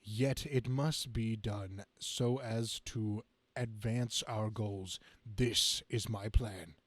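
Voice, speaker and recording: A man's voice: fairly low pitch, steady tone, slow pace, dry recording. A man voicing a stern, imperious cult-leader supervillain, pompous and commanding as he stumbles through a comic-book script. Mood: grudging.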